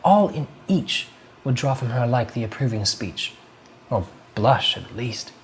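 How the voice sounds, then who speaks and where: A man's voice: mid-range voice, expressive, slight background noise, rough recording. A man playing a haughty, possessive duke. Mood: disdainful. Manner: measured, formal dramatic recitation. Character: proud, possessive, coldly controlling male duke. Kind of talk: dramatic monologue performance.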